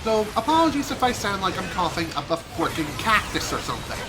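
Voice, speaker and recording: A man streaming a video game, chatting casually and rambling with self-amused asides, his voice a little hoarse from allergies. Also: sits high, sing-song, brisk. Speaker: gaming streamer. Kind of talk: casual let's play commentary. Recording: game music behind voice. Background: game music.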